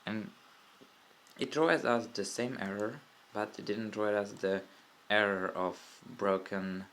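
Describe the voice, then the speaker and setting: A man's voice: fairly low pitch, steady tone, takes it slowly, a bit bright. Young Czech man, lo-fi phone tutorial. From Czech Republic. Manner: rambling, self-correcting, mutters asides to the camera. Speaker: tech hobbyist demonstrating an install. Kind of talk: casual tutorial commentary. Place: indoor room at a desk by a window. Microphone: old smartphone camera mic. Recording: lo-fi old phone recording, faint room noise.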